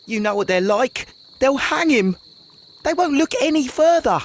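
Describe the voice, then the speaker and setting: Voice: high voice, lively intonation, normal speed. A performer playing an anxious, pleading Victorian London street urchin boy with an English accent in a video game. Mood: worried, pleading. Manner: urgent, informal.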